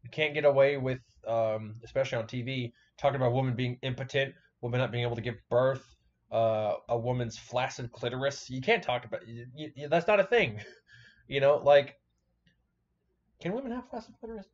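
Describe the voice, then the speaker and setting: A man's voice: mid-range voice, brisk, muffled recording. A man giving casual, profane reaction commentary in a blunt, joking, rambling manner.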